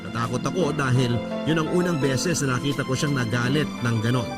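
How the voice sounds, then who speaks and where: A man's voice: medium pitch, a little fast. A man with a Filipino accent reading a first-person love story as narrator of a radio drama. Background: background music.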